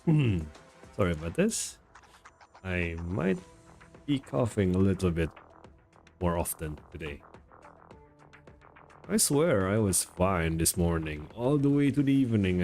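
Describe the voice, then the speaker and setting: Male game streamer, low register with animated swings, casual and self-deprecating, often groping for words, soft game music underneath. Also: unhurried, pauses often. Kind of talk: casual live stream chat.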